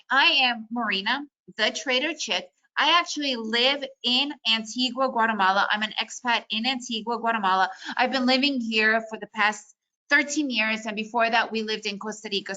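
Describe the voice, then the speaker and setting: A woman with a bright, forceful voice teaching day trading in a webinar. She is a native Russian speaker who grew up in the United States. Her talk is chatty and upbeat, pitched a little high, and full of "you know" and "right?". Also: muffled recording. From Soviet Union, raised in the United States.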